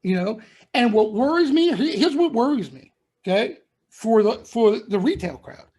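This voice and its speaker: A man's voice: very high-pitched, sing-song, normal speed, darkish tone, slightly rough. A middle-aged man talks to his audience of traders in a members-only broadcast. He lectures in a blunt, emphatic way and hammers each point by saying it again. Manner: emphatic, repeats points, lectures and warns listeners. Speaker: stock trading commentator.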